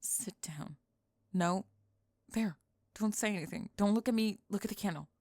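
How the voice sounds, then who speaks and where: A woman playing a young goth bully, defensive and reluctantly lovestruck, in an ASMR roleplay, speaking haltingly and dropping into whispers. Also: mid-range voice, a little mellow, dry recording, clean studio sound, voice pushed down, close to an everyday voice. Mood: bossy, threatening. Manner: halting, breathy sighs, drops into whispers.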